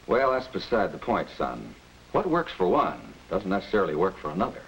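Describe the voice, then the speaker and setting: A man playing a middle-aged American father in a 1940s educational film, giving measured, reassuring advice. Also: low voice, sing-song, a bit bright, heavily acted. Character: calm, reasonable middle-aged father advising his son. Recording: muffled, noisy 1940s film soundtrack.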